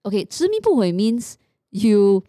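A woman's voice: medium pitch, big pitch swings, unhurried, warm tone, no echo, studio quality. A woman with a Singaporean accent hosting a casual music podcast, informally mixing English with Mandarin and local particles. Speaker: podcast host.

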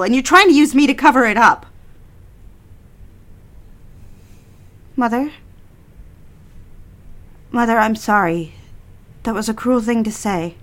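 Young daughter, played by a woman, higher-pitched. Defiant, wounded, emotional, defensive; audio drama delivery. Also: close to an everyday voice. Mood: angry, cutting. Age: young adult. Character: defiant, hurt young secretary daughter having an affair.

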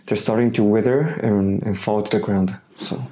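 Hoarse pastor with a cold, halting sermon. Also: male voice, lowish voice, warm tone, a little hiss or hum. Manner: halting, with frequent restarts and fillers.

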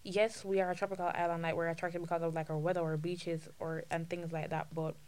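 A young woman with a Jamaican accent chats casually on a student podcast. Her voice sits a little low, and she gets animated and joking as she talks, speeding up when excited. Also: fast, clear, resonant tone. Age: young adult. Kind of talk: casual chat.

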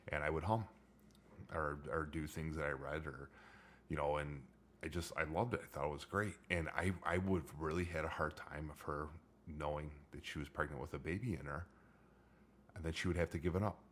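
A middle-aged man with a low voice hosting a casual chat, joking and rambling with many restarts. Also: steady tone, brisk, a little hiss or hum. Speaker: podcast host.

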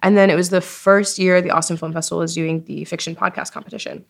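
A woman in her twenties with a slightly low voice is being interviewed. She talks in a loose, informal storytelling way, peppered with "like" and quoting herself as she goes. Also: quick pace, gravelly. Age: 20s. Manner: informal, animated self-quoting, frequent "like". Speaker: podcast creator being interviewed. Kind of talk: casual chatty interview storytelling. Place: recording studio at a festival.

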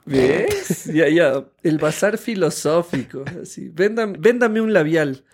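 Casting: man in his 30s, Ecuadorian accent, fairly high register, chatty podcast co-host. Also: sing-song, slow pace. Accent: Ecuadorian Spanish. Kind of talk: conversational podcast chat.

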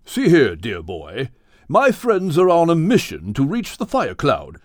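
A man voicing a jolly giant whale with a plummy, old-fashioned gentlemanly manner, in an audiobook story. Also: on the high side, huge pitch swings, a little slow, no room sound, clean studio sound. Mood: politely inquiring.

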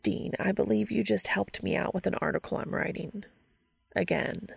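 A woman voicing an earnest, reserved male professor in a story reading, measured and formal. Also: mid-range voice, creaky, slightly rough, no room sound, heavily acted.

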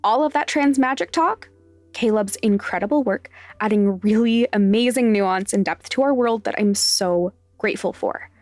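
A woman's voice: medium pitch, normal speed, a little mellow, dry recording. A woman reading scripted end credits as the creator of a podcast. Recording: dry studio voice over music. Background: faint music.

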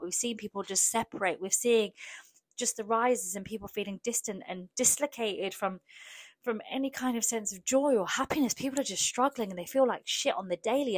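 A woman's voice: a little high, no room sound. Frank, jokey woman. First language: Polish. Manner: frank, jokey, animated storytelling. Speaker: comedian. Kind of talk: casual monologue.

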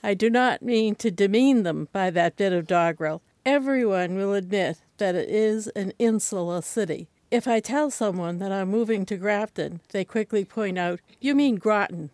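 An older woman from Boston with a Boston accent, reading her own personal essay aloud at a senior center in a measured, wry way. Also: mid-range voice, a little mellow, dry recording.

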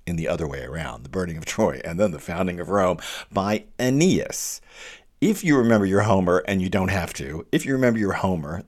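American male lecturer, deep register with a swooping, expressive delivery, chatty and digressive. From United States. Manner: animated, digressive, with quick asides and restarts; reads quoted verse aloud. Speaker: literature lecturer. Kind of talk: informal lecture on a classic poem.

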